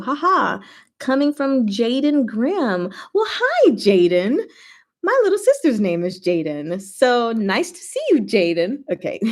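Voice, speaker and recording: A woman hosting a livestream, chatting casually and playfully with her viewers in an animated, slangy way. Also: mid-range voice. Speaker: livestream host and book reader.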